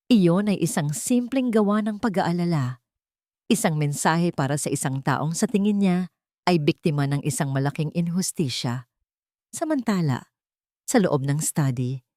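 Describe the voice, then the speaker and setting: A woman's voice: fairly low pitch, dark tone, dry recording, clean studio sound. A woman narrating a scripted romantic drama in a solemn, dramatic storytelling manner.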